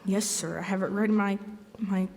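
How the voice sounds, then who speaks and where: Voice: mid-range voice, steady tone, normal speed, gravelly, a little mellow, natural voice. A performer playing a hapless, put-upon teenage customer, hesitant and stammering, in a classic-style radio play. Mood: flustered. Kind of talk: live stage radio drama in classic style. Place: stage in a hall, live performance. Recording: echoey stage hall recording.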